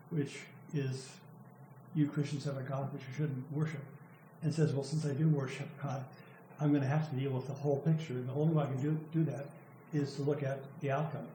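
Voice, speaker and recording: An older male lecturer with a hoarse, mellow voice that keeps catching in his throat. He talks in a thoughtful, halting way, often restarting his words. The sound carries the echo of a room, with a faint hum behind it. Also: medium pitch. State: losing his voice, clearing throat. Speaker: professor presenting a student's work. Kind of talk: academic lecture. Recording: echoey room with faint noise.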